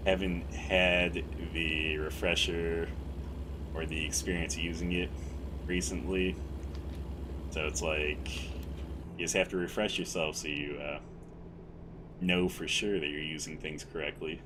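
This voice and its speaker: A man calmly talking through a driving game as a streamer, with a mellow, fairly monotone voice and a rambling, self-correcting way of speaking. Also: low-pitched, measured pace, long pauses, background noise. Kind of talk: casual gameplay commentary.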